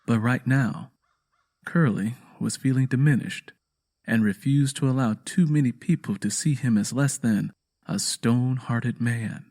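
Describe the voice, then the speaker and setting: A man's voice: medium pitch, unhurried, darkish tone. A man narrating a scripted dramatic story as its storyteller.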